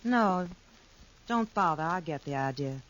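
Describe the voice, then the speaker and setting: Coquettish saloon girl, old radio drama, swooping lively voice, faint hiss. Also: sits low, unhurried, highs cut off. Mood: flippant. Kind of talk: 1950s radio drama acting.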